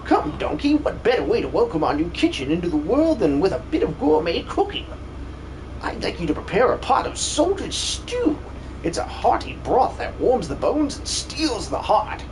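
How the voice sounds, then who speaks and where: A man's voice: high-pitched, wildly swooping pitch, normal speed, background noise. A man voicing a pompous, gluttonous old soldier with theatrical, old-fashioned exclamations in a video game fan dub. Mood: jovial, hungry. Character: blustery gluttonous old bearded soldier, paranoid about spies.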